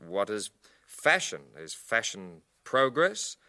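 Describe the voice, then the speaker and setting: Radio drama role: stern, didactic villager, bright forceful delivery, animated intonation. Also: male voice, fairly low pitch, slow, heavily acted. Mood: lecturing. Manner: blunt, didactic. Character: stern, dismissive village man lecturing a dejected woman. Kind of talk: 1980 radio drama acting.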